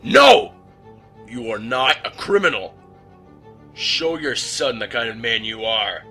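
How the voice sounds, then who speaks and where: A man playing a stern, commanding vigilante superhero in a comic-book audio drama, speaking forcefully. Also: medium pitch, expressive, takes it slowly, faint music behind, heavily acted. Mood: stern urgency.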